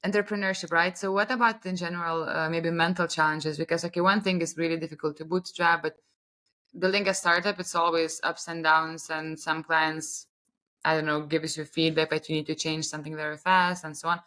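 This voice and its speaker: A woman's voice: sits low, flat delivery, fairly quick, sharp tone. A woman hosting a podcast interview, chatting in a friendly way and asking follow-up questions. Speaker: podcast host. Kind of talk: conversational interview.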